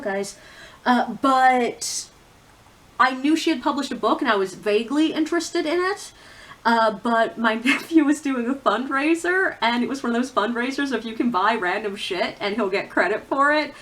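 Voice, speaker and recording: A woman talking casually and candidly to viewers as a book reviewer, with opinionated, informal asides. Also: a little high. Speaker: book reviewer on a video channel. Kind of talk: casual chatty vlog talk about books.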